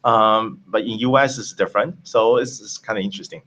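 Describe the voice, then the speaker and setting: A man from Taiwan, a tech company founder, answering interview questions over a video call in a halting way with many fillers. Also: fairly low pitch, a bit bright, poor audio.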